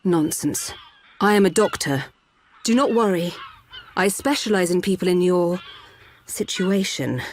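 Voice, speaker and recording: A woman voicing a calm, formal doctor of a machine race in a video game, speaking in measured, precise wording. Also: fairly low pitch, slight background noise. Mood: composed, firm. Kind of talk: video game cutscene acting.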